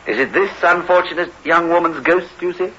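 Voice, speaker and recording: An actor playing a middle-aged prison governor in a 1960s radio drama, formal, clipped and condescending. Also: male voice, a little high, fairly quick, bright, forceful tone. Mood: sceptical authority. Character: stern, dismissive male prison governor, official and formal. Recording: muffled, noisy old radio recording.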